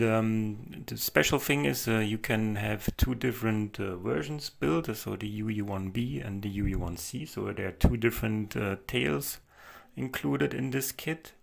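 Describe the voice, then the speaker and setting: A man narrating a model helicopter build in a relaxed voice-over, pausing often with fillers. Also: lowish voice, gravelly. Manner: hesitant, many fillers, soft-spoken. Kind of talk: casual build walkthrough voice-over.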